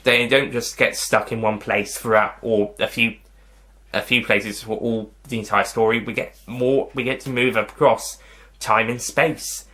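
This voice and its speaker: A man's voice: on the low side, fairly even intonation, measured pace, sharp tone. A man hosting a casual video review, talking to viewers in a rambling, hesitant way and often rewording himself. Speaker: host of a video review channel.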